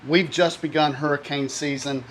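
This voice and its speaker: A man presenting a product review, explaining features one by one in a plain, steady way. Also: a little high, moderate pace, slight background noise, low-quality recording.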